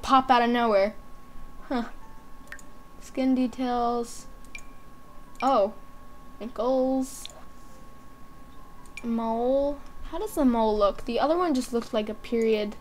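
High-voiced woman chatting at an unhurried pace. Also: a little hiss or hum. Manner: thinking aloud in short offhand remarks. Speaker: gaming video host. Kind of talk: casual, chatty game commentary.

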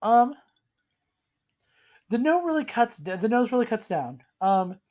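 Hoarse guy with a cold rambling about whiskey at home, webcam sound, chatty and self-deprecating. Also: extremely high pitch, big pitch swings, unhurried, a little mellow. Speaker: whiskey reviewer. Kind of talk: casual tasting vlog. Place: small room indoors at home.

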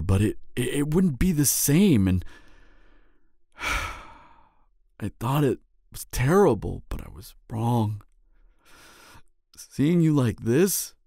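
An actor playing a devoted, lovestruck young knight in an audio drama, speaking in a halting, earnest and emotional way. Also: male voice, mid-range voice, a little mellow, husky, dry recording, clean studio sound, full character voice. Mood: grieving, pleading. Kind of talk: intimate audio drama acting.

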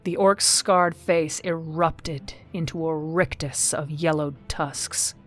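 A woman's voice: low-pitched, steady tone, takes it slowly. A woman reading a scripted war story as a dramatic audiobook narrator. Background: music behind the voice.

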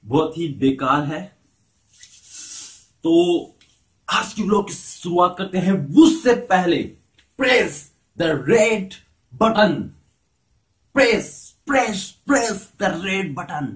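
Young guy from india vlogging, slow drawly talk, kinda high voice, phone at arm's length. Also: lively intonation. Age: young adult. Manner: playful, jumps between Hindi and broken English. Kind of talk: casual vlog to camera. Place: small home room and village outdoors. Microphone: handheld camera at arm's length.

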